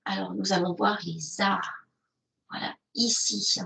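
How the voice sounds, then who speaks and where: Hesitant woman presenter, slightly gravelly. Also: mid-range voice, fairly even intonation, slow pace, bright, forceful tone. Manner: hesitant, many fillers. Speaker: presenter of an educational video platform. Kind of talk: webinar presentation with screen sharing.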